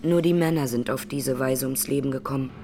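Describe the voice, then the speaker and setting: A woman's voice: a bass voice, brisk, mellow, faint music behind. Solemn woman survivor giving testimony. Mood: grave, sorrowful. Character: grieving Armenian woman, massacre survivor testifying in court. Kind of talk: radio drama courtroom testimony.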